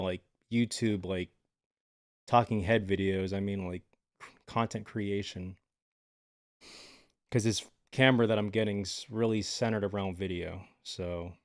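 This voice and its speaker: A man's voice: lowish voice, dry recording, clean studio sound. A man casually chatting with his live viewers from home, laid-back and rambling with lots of filler words. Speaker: livestreamer.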